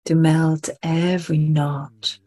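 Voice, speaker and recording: Woman doing guided meditation, super slow, soft breathy voice, lots of pauses, really soothing. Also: low voice, little pitch movement. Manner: soothing, deliberate, repetitive, with long pauses.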